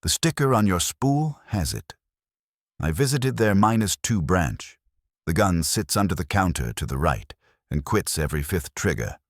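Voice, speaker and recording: A man's voice: low-pitched, big pitch swings, normal speed, no echo, studio quality. A man voicing a terse, businesslike private investigator in an audiobook, reporting in clipped, matter-of-fact phrases.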